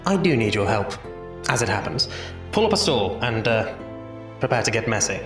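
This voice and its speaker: A lively man's voice, set back from the mic in a roomy space, playing a Victorian hospital doctor. He is genial and playful, with courteous, slightly formal phrasing in audio drama style. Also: fairly low pitch, moderate pace, distant mic, over music. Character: male Victorian hospital doctor, genial, teasing, enthusiastic anatomist.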